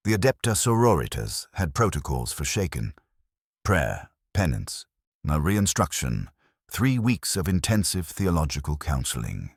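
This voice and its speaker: An extremely low male narrator delivers scripted dark fiction with a solemn, clipped manner. His voice has a slightly husky grain and moves noticeably in pitch, captured very dry and close. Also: no echo. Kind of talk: scripted dramatic first-person fiction narration.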